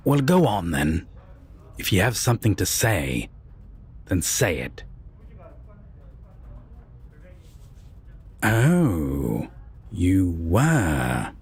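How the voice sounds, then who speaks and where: A man playing a menacing, condescending vampire captor in a roleplay audio drama, talking in a mocking, deliberate way with husky, breathy whispers. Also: sits low, huge pitch swings, a little mellow, heavily acted. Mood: sardonic, probing. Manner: slow, deliberate, mocking, formal phrasing.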